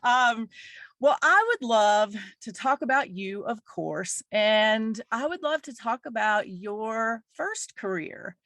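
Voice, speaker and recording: A woman from the American South hosts a podcast in a higher-pitched voice. She sounds upbeat and enthusiastic as she chats with her guest. The sound is dry and close to the mic. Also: expressive, slow pace, fairly bright tone, dry recording. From Virginia and North Carolina, US. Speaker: podcast host. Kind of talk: casual interview chat.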